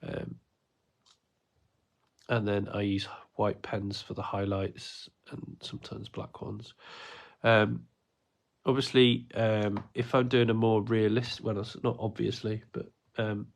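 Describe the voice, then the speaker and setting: A man's voice: lowish voice, flat delivery, slow, creaky. A man, stuffy from a cold, chatting his way through a live drawing lesson with plenty of ums and uhs. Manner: rambling, many ums and uhs. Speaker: art teacher running a livestream class. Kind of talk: casual livestream drawing lesson.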